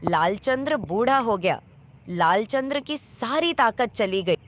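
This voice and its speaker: A woman's voice: a little high, lively intonation, measured pace. A woman telling a story as narrator, reading scripted narration. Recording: faint background noise.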